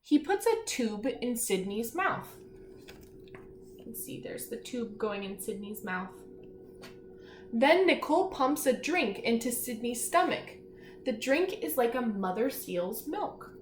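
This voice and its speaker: A woman teacher reading aloud to young children, pausing to explain simply, ask questions and encourage her listeners. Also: a little high, big pitch swings, soft background music. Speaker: elementary school teacher. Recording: echoey room, faint background noise.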